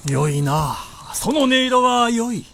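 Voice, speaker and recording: An actor playing an imperious, amused feudal Japanese warlord in a period radio drama, with commanding, archaic, lordly speech. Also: male voice, extremely high pitch, sing-song, slow pace, fairly bright tone, a little hiss or hum, full character voice. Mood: stern, commanding.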